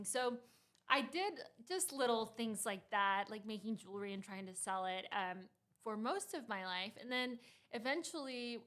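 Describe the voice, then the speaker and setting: A woman's voice: mid-range voice, fairly bright tone. A woman in her thirties from Costa Rica, a startup founder talking as a guest in a studio interview, laughing often and using many fillers and restarts. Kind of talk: casual interview conversation.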